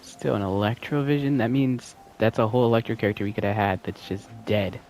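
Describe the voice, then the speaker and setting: Guy streaming a game, kinda low voice, just casually reacting as he goes. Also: fairly even intonation, brisk, darkish tone, a little hiss or hum.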